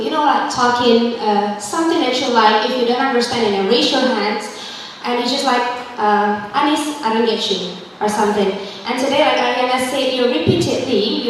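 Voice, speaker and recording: A woman with an Indonesian accent addresses a class in English, pausing often with "uh" as she searches for words. Her fairly high voice sounds far from the microphone in a very echoey hall. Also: a little hiss or hum. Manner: hesitant, searching for words, uh fillers. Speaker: guest speaker. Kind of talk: classroom talk to students.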